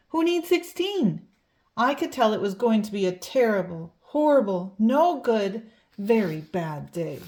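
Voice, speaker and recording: A woman reading a children's picture book aloud expressively, drawing out key words for emphasis. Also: a little high. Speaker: storybook reader.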